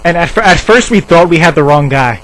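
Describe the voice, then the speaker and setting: A man's voice: animated, fairly quick, fairly bright tone. A man playing an officious, defensive drug treatment center staffer in a rambling, insistent improvised prank phone call. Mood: officious, explaining. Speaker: prank caller. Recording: noisy low-quality cell phone call, hum.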